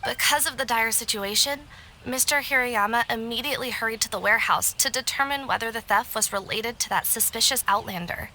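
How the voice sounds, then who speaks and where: Young editor, high and very bright, friendly but worried game dialogue, faint noise behind. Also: female voice, fairly quick. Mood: helpful. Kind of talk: video game voice acting.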